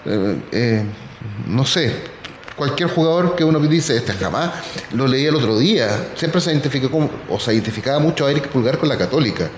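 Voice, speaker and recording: A man's voice: mid-range voice, expressive, a little fast. A man hosting a casual football talk, with many fillers. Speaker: podcast host. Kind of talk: casual chat. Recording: echoey room with faint noise.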